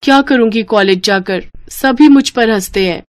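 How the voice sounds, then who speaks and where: A woman's voice: on the high side, moderate pace, dry recording, studio quality, close to an everyday voice. A woman voicing a sad, self-conscious college girl in a cartoon story, with a plaintive manner. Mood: dejected.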